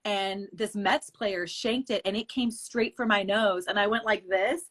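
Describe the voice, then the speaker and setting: A woman in her thirties from the Bay Area, a real estate agent and podcast guest, telling candid, animated stories and laughing often. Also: medium pitch, a little slow. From San Francisco Bay Area. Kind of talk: casual interview chat.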